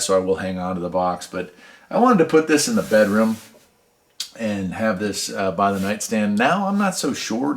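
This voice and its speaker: Low-voiced American man, casual and folksy. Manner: folksy, rambling, conversational. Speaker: host, radio hobbyist. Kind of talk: casual product showcase vlog.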